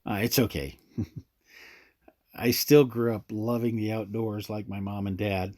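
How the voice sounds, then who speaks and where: A man's voice: on the low side, little pitch movement, unhurried, a little mellow, no room sound, close to an everyday voice. Man playing an easygoing park ranger, dry sound. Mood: hesitant, uneasy. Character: easygoing, hesitant male park ranger, haunted orphan. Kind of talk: audio drama dialogue.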